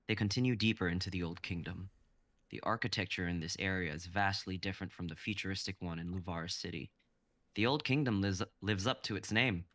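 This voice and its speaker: Brief: male narrator, low gravelly voice, even script reading, dry sound. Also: fairly quick, no echo. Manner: even, descriptive, with stage directions.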